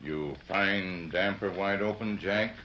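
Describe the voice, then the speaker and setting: An actor playing a stolid Native sidekick in an old radio western, speaking clipped broken English on a noisy old recording. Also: male voice, low voice, slow, lo-fi sound. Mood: grave, knowing.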